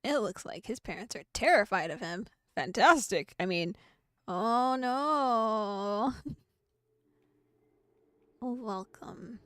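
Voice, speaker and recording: Animated woman streamer reading a game. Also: on the high side, takes it slowly, pauses often, no echo, studio quality. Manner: animated, laughing reactions between narration. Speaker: VTuber streamer. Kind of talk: reading a visual novel aloud with reactions.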